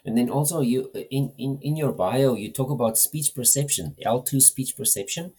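A male host with a mid-range, mellow and husky voice. He asks his questions hesitantly, restarting words as he works them out. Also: mid-range voice, normal speed. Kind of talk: interview questions.

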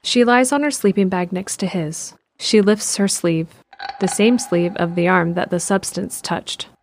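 A woman narrating an audio drama in a calm, measured way. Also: fairly low pitch, gravelly.

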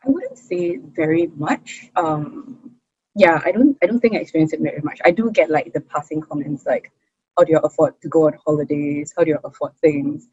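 A young woman with a Singaporean English accent talks through an interview in a husky, breathy voice. She is expressive and chatty, dropping fillers and laughing often. The sound is dry and a little dull, like a muffled recording. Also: sits low, fairly quick, no echo. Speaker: interview guest, hawker's daughter. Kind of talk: casual interview conversation.

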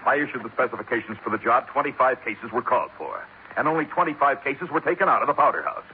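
An actor playing a hot-tempered, blunt construction engineer in a 1950s radio drama. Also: male voice, medium pitch, animated, quick pace, narrow, tinny audio, lightly acted. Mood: angry, scornful. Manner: blunt, combative. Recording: noisy, thin 1950s radio recording.

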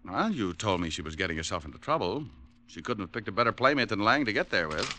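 An American actor playing a suave, wisecracking private detective with wry, hardboiled delivery in an old-time radio show. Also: male voice, on the low side, very animated, fast talker, pushed and bright. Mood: cool, wry. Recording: old radio broadcast with music underneath. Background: music.

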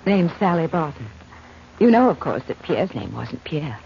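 A woman's voice: low-pitched, big pitch swings, normal speed, creaky, full character voice. An actress playing a cool, flippant young working woman with an American accent, giving evasive comebacks in a 1940s-style radio drama. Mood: frank. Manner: flip, evasive. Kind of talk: 1940s radio detective acting. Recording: muffled, noisy old radio recording.

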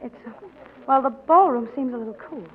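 An actress playing a spoiled, proud young Southern belle in a 1940s radio drama, coquettish and taunting. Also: female voice, sits high, fairly quick, close to an everyday voice. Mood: uneasy. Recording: dull 1940s radio broadcast, music behind.

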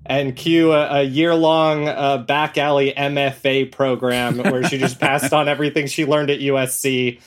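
A man's voice: mid-range voice, level tone, normal speed, few pauses. A man telling stories casually as a podcast guest, animatedly acting out bits of remembered dialogue. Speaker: podcast guest, audio drama creator. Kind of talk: casual interview storytelling.

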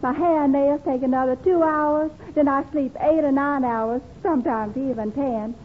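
A woman's voice: high-pitched, normal speed, natural voice. A woman acting a cheerfully dim secretary who rambles innocently in an old radio sitcom. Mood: chatty, oblivious. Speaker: radio comedy actress. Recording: old muffled narrow-band radio broadcast, hiss.

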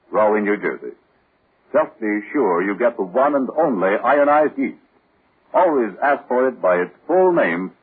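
An American man works as a 1940s radio announcer, delivering sponsor copy. His voice is fairly low and very rough-edged. It comes through a thin, muffled old broadcast recording.

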